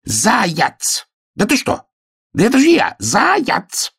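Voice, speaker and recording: A boisterous young hare from a children's storybook, pitched far above natural speech and swinging wildly. The hare is naive and easily astonished, blurting everything out in exclamations. The sound is close and dry. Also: male voice, big pitch swings, slow, no echo, exaggerated voice. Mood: agitated.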